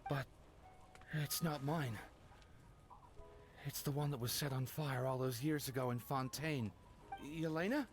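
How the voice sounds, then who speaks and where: A man's voice: mid-range voice, unhurried, pauses often, soft background music, natural voice. An actor playing a grief-stricken man mourning his lost love in a game cutscene, anguished and pleading. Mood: grieving. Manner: anguished, pleading, voice rising in despair.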